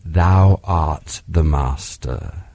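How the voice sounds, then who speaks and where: A man doing a deep, grave old panther. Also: extremely slow, no echo, full character voice. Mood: grave, gentle. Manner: gentle, grave, archaic speech.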